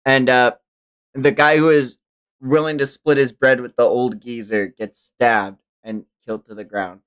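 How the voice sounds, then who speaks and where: A man's voice: medium pitch, level tone, unhurried, fairly bright tone, smooth, poor audio. A man hosting a livestream manga read-along, narrating dramatically and dropping into informal, sweary commentary. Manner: profane, informal asides. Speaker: livestream host and reader.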